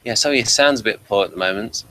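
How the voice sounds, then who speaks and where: A man hosting a review show, chatting casually in a hesitant, halting way and often repeating words. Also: fairly low pitch, pushed and bright, low-quality recording. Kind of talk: casual audio drama review chat.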